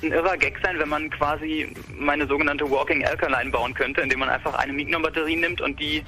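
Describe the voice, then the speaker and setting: A man's voice: on the high side, fairly even intonation, a little fast, bright, forceful tone, soft background music. A young man from Berlin talking casually about a hobby robot project, rambling with many fillers and restarts. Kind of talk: casual technical talk.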